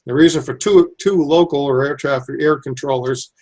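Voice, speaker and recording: A man's voice: medium pitch, normal speed, a little mellow. A man giving informal, step-by-step explanations as an engineer being interviewed. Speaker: civil engineer, airport designer being interviewed.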